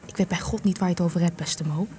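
An actress playing a sharp, cynical young female journalist in a radio play, biting and sarcastic. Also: fairly low pitch, fairly even intonation, fast, close to an everyday voice. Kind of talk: radio play acting in Dutch. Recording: long hall reverb, music behind.

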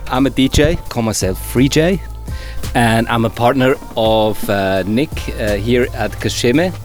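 A man's voice: mid-range voice, measured pace, soft background music. A man who is a DJ and bar co-owner, speaking as a guest in a friendly and slightly hesitant way. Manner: friendly, hesitant, with fillers and restarts. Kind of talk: interview conversation.

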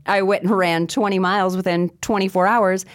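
Hoarse, teasing woman co-host; casual banter. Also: medium pitch, fairly even intonation, normal speed. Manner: teasing, laughs often. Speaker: radio show co-host. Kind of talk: casual chat.

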